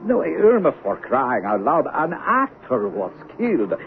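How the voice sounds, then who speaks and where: A man's voice: on the high side, measured pace, creaky, heavily acted. An actor in a 1970s radio drama playing an exasperated, colloquial everyday man passing on news. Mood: excited, exasperated. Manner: animated, colloquial. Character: exasperated everyday man bringing gossip to a fan. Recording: tinny narrow-band 1970s radio recording, noisy.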